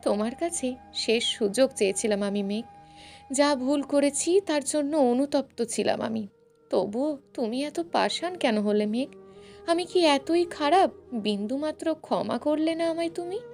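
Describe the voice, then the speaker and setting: A woman's voice: high-pitched, lively intonation, measured pace, a little mellow, faint music behind. A woman voicing a brooding, heartbroken young man in a story, curt and melodramatic.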